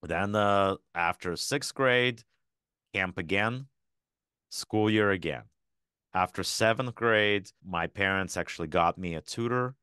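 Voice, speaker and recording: Very deep-voiced man raised in Ukraine. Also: slow, sharp tone, clear, resonant tone, no echo, clean studio sound. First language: Russian. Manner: explanatory, often adds tag questions. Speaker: podcast guest. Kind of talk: casual interview talk.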